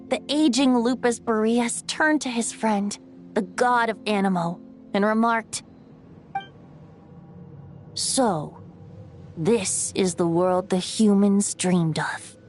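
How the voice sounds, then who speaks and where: A woman's voice: on the high side, takes it slowly. A woman voicing a playful, poetic young male bard in a video game, with lilting, theatrical storytelling. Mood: gentle, solemn. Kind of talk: dramatic video game narration. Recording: music under the voice.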